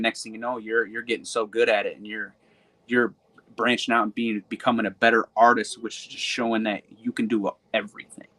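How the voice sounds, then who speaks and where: A man's voice: medium pitch, a bit bright. A man who raps and sings, talking casually as a guest, rambling with lots of fillers and repeated words. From Michigan. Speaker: guest hip-hop artist. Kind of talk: casual interview chat.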